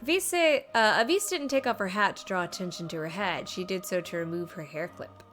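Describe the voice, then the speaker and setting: A woman streaming a video game with casual, expressive commentary and exclamatory reactions. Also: mid-range voice, brisk. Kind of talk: casual live game commentary, reading dialogue aloud. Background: game music.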